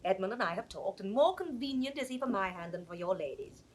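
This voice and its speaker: A woman's voice: mid-range voice, sing-song, fast, a little mellow, close to an everyday voice. A woman playing a cold, scheming noblewoman, imperious and ruthless, in classical Shakespearean stage style. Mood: cold, commanding. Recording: faintly noisy 1960s studio drama recording.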